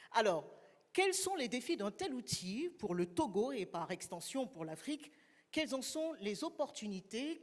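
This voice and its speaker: A woman serving as master of ceremonies, opening a formal event with measured protocol greetings. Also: fairly high pitch, darkish tone. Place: large conference hall. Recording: long hall reverb.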